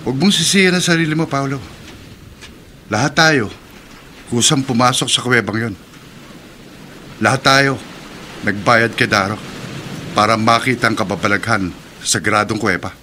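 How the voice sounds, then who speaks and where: An actor playing a firm, reassuring friend in a radio drama. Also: male voice, mid-range voice, normal speed, pauses often, pushed and bright, slight background noise, natural voice. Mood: firm, consoling. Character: firm, reassuring male friend of a guilt-ridden man.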